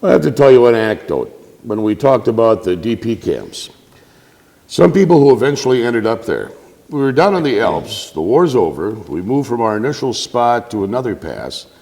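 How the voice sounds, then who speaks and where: Older man, mellow lively voice, low pitch, long reverb. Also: moderate pace. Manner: anecdotal, lively storyteller. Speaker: veteran panelist. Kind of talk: storytelling in a panel conversation. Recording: reverberant community TV studio.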